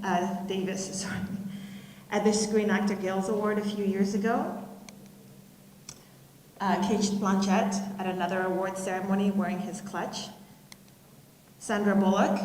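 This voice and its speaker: A woman's voice: mid-range voice, darkish tone, a little hiss or hum. Reflective woman speaking in an echoey hall. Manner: measured, reflective, pauses with fillers. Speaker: keynote speaker. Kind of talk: personal storytelling speech. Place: school auditorium, live audience. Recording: roomy hall reverb.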